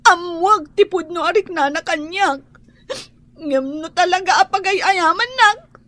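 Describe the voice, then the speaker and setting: A woman's voice: very high voice, measured pace, pushed and bright, dry recording, full character voice. A woman playing a lovelorn, insistent young woman who is crying, with an Ilocano accent, in a radio drama. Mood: tearful longing.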